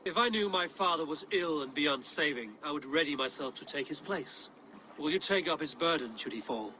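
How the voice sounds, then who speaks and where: A stern Viking leader in a game drama. The delivery is measured and commanding, with a tense, forceful edge. There is faint ambience behind the voice. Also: male voice, fairly high pitch, animated, slight background noise, rough recording. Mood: grave, probing. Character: stern, blunt, steady Viking warrior chieftain, adult male. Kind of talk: scripted video game drama acting.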